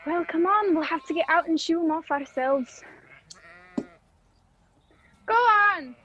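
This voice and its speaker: High-pitched bossy cop played by a woman. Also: slow pace, bright, forceful tone, exaggerated voice. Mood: impatient. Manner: bossy, taunting. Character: gruff bossy policeman in a crooked pair. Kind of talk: old-fashioned radio play acting. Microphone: video call. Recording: muffled video-call audio.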